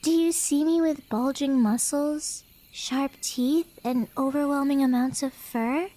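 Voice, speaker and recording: A woman's voice: high-pitched, steady tone, mellow, low-quality recording. A woman playing a playful, teasing, clingy cat beastkin in a flirty ASMR roleplay, with a coy manner. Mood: teasing relief. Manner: coy, teasing, with drawn-out breathy whispers.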